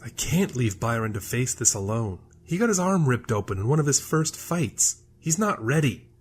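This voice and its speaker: A man's voice: mid-range voice, very animated, normal speed, slightly rough. An actor playing a defiant, anguished male time traveller, with intense, dramatic delivery in an audio drama. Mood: anguished, urgent. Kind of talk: audio drama character dialogue.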